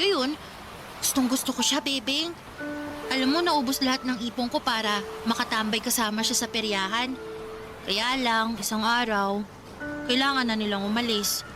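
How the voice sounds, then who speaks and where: A woman's voice: on the high side, natural voice. A woman playing a sentimental, teasing older girl cousin in a radio romance drama, confiding and dramatic.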